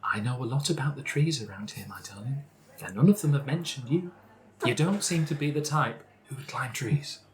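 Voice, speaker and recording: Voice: medium pitch, a little fast, a little hiss or hum, lightly acted. A performer voicing a theatrical, centuries-old grandmotherly woman in a tabletop game, gushing with endearments. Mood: doting.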